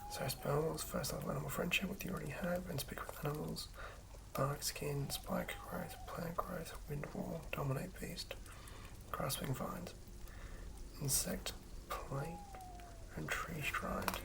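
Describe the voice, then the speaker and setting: A host whispering in a breathy, hushed ASMR style, reading aloud and commenting casually in an unhurried way. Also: medium pitch, sing-song, poor audio. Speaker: host reading and building a tabletop game character.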